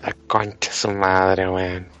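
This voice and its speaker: A man's voice: deep voice, flat delivery, slow pace, fairly bright tone, highs cut off, soft background music. A man voicing a lecherous, foul-mouthed young thug fresh from prison, with slangy Chilean speech, in an improvised comedy radio play. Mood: crude, incredulous.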